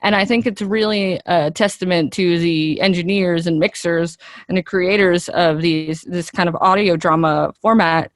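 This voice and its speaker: A woman's voice: fairly low pitch, fairly even intonation, moderate pace, dry recording, clean studio sound. A woman, an actress, chatting casually and expressively as an interview guest.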